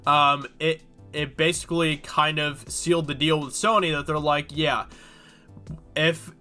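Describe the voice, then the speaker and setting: A man's voice: fairly high pitch, fairly even intonation, measured pace, bright, forceful tone, soft background music. A man with a cold and a congested voice, talking casually and rambling with many fillers as he reads through and comments on a news article. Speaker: YouTube host.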